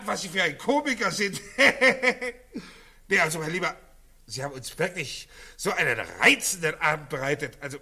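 An actor playing a pompous, patronizing middle-aged colonel with theatrical effusiveness in a 1940s German radio drama. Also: male voice, a little high, pushed and bright, heavily acted. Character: pompous, jovially condescending colonel, father of a household.

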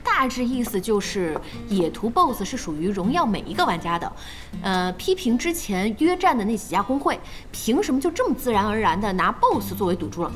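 A woman playing a spirited young gamer in an audio drama, chatty, expressive and inquisitive. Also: mid-range voice, fairly quick, soft background music. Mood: curious. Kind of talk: audio drama acting in dialogue.

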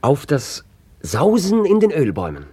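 An actor playing a gentle, pleading fiancé in a radio play, speaking softly and coaxingly. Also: male voice, on the high side, wildly swooping pitch, moderate pace, slightly rough, no echo, exaggerated voice. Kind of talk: radio drama acting.

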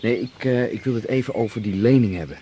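An actor in a radio drama playing an affectionate, joking man who talks with his partner in a lively, teasing way. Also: medium pitch, fast, a little hiss or hum, natural voice. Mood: earnest. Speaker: lover. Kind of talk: 1980s radio drama acting.

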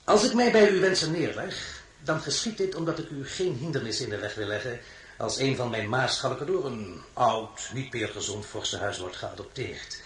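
A man's voice: mid-range voice, moderate pace, close to an everyday voice. A man acting in a radio drama as an imperious, volatile French emperor, with a commanding, mocking, theatrical delivery. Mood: condescending, sardonic. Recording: roomy sound with faint noise.

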